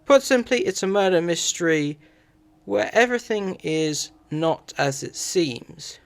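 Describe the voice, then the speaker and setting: Slow-paced male reviewer, highish voice. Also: lively intonation. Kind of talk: scripted review narration.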